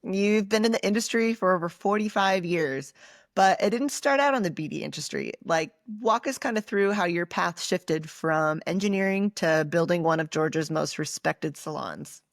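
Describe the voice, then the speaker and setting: A woman's voice: lowish voice, normal speed, no room sound, studio quality. A woman hosting a podcast interview, upbeat and reading prepared questions. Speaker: podcast host.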